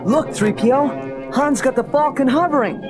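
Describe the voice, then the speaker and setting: Eager high-pitched young rebel pilot. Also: male voice, normal speed. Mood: determined, breathless. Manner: earnest, urgent. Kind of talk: space adventure audio drama acting. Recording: loud music and vehicle noise behind.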